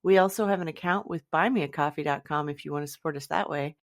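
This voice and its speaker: Lady host doing a quick patreon-style plug, lowish voice, dry close sound. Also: no echo. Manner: friendly, direct address to listeners. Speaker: podcast host. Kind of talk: casual sponsor announcement.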